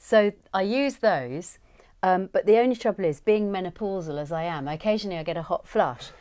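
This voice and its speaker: A woman in her fifties, an audiobook narrator, chatting in a relaxed studio interview, chatty and self-deprecating. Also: medium pitch, fairly quick. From England. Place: recording studio.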